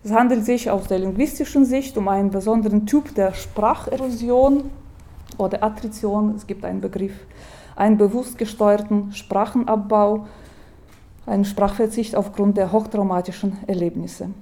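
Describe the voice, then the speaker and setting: A woman giving a lecture, speaking in a measured way, with hesitations and restarts, and reading quotations aloud. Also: mid-range voice, dark tone.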